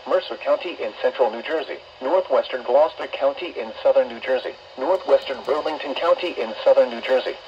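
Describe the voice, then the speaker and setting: A synthetic male announcer voice reading an automated weather warning in a formal, measured way, played through a weather radio speaker. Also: medium pitch, lo-fi sound, a little hiss or hum. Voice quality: synthetic text-to-speech voice. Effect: weather radio broadcast.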